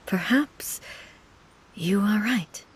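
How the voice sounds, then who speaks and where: An actress playing a calm, reserved female visitor in a video game, speaking in a measured, gently reassuring manner. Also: medium pitch, slight background noise. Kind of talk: video game character acting.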